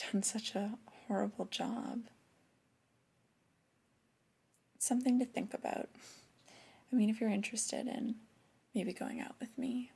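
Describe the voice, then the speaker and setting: A woman playing a flirty, disapproving female boss in a soft-spoken ASMR roleplay, breathy and half-whispered, with a gentle, hesitant, intimate delivery. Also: medium pitch, full character voice. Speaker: ASMR performer.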